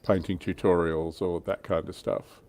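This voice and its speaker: Low-pitched man with a dark, mellow voice. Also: level tone, moderate pace. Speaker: livestream host. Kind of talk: casual hobby livestream chat.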